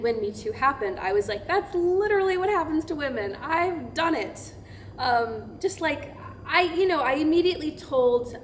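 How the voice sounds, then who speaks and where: Female pastor, weary and incredulous, conversational and halting, played by an actress, mid-pitched, faint noise of a live staged reading. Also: natural voice. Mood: candid. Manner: hesitant, filler-laden, candid. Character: female pastor recounting seminary harassment, weary, candid, incredulous. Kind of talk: verbatim theatre monologue, conversational and halting. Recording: noisy room recording of staged reading.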